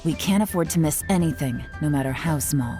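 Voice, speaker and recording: An actress playing a confident, teasing young covert operative in a video game, with a sly, unhurried delivery. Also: female voice, low-pitched, music in the background. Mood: businesslike. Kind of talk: scripted video game dialogue.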